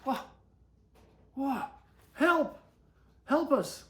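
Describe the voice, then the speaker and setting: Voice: sits high, lively intonation, extremely slow, a bit bright, pitched above the performer's own voice, exaggerated voice. A storyteller voicing frightened, stranded children from a picture book, exclaiming and pleading. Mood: panicked.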